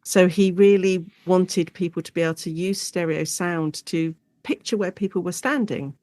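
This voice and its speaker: A woman's voice: lowish voice, moderate pace, a little mellow, no room sound. English woman, chatty expert, close dry sound. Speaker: guest academic expert. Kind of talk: conversational interview, explanatory.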